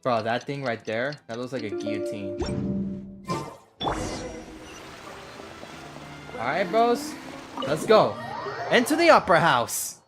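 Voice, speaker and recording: A woman's voice: rumbling low, very animated, slow, pauses often. A woman livestreaming a video game with casual, reactive commentary. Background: game music.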